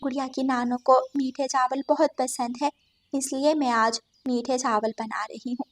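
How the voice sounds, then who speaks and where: A woman voicing a gentle, homely mother bird in a cartoon, soft-spoken and kindly. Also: high voice, fairly even intonation, moderate pace.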